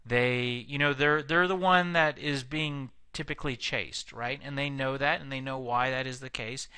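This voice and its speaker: A man explains sales prospecting in a casual teaching tone, often stopping to restart a phrase or add an "um". His voice is bright and crisp. The sound is dry and close, with no room echo. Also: mid-range voice, steady tone, normal speed. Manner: conversational, frequent fillers and restarts. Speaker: sales trainer. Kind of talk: instructional video lecture.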